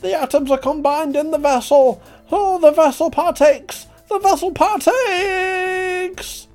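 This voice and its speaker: A man voicing an over-keen male eccentric in a comic story, chanting and talking with loud, insistent energy. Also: very high-pitched, animated, a little slow, soft background music, voice pushed up. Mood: fervent chanting.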